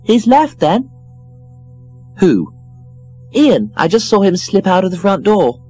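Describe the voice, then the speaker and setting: A man reading a story, voicing a pushy, star-struck woman who gushes loudly. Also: very animated, slow, breathy edge, no echo, soft background music, heavily acted. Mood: gushing. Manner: shrill and overexcited.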